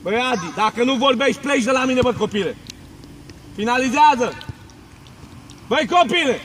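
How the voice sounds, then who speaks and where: A man coaching football, calling out loud, urgent short commands and praise during a training drill. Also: very high-pitched, animated, slight background noise. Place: outdoors on a football training pitch.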